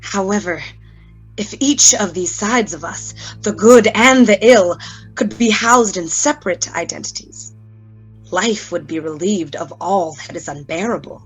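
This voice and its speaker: A woman's voice: mid-range voice, a little slow, husky, low-quality recording. A woman playing a brilliant, tormented scientist in a radio play, delivering a formal, grave and intense monologue. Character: brilliant, tormented scientist musing on human nature. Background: music.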